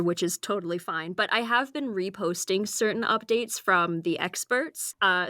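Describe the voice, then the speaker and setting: Chatty woman podcast co-host, lowish voice. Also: a bit bright, dry recording, studio quality. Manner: chatty, laughs often. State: slightly strained voice from screaming. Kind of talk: casual chat.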